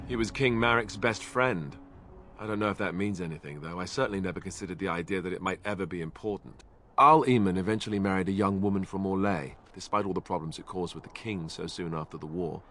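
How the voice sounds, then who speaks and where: Earnest young fantasy game warrior guy, kinda low voice, hesitant and self-deprecating, music behind. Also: fairly quick, fairly bright tone. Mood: resigned, explanatory. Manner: hesitant, self-effacing, conversational. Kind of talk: video game cutscene acting.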